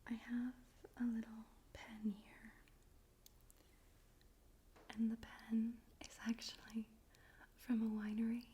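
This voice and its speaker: A woman speaking in a soft, breathy whisper, gently and carefully, in an ASMR style for poetry reading. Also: on the high side, monotone, slow pace, long pauses, slight background noise. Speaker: ASMR host and poetry reader. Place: room in a home in daytime.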